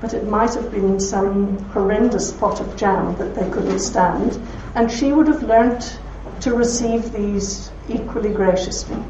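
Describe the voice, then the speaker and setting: A woman's voice: medium pitch, measured pace, few pauses, a little hiss or hum. Belfast woman telling stories in a hall. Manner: warm anecdotal storytelling with laughs. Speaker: speaker at a talk. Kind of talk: talk with audience questions. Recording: roomy hall from far off, dull.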